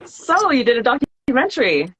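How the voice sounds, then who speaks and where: A woman's voice: high-pitched, big pitch swings, moderate pace, fairly bright tone, breathy edge. A woman hosting a live podcast stream, upbeat and laughing often as she greets viewers and asks questions. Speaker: podcast host.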